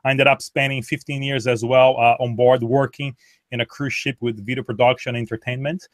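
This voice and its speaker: A Brazilian-born man whose first language is Portuguese, speaking as a guest in an interview, halting with frequent restarts and repeated words. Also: medium pitch, little pitch movement, dry recording, studio quality. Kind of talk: conversational interview.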